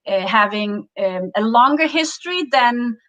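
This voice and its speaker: A woman's voice: fairly high pitch, lively intonation, unhurried, fairly bright tone. A Danish woman guest in an interview, talking with many fillers and restarts. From Denmark. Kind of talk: interview conversation. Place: home in Oregon woods.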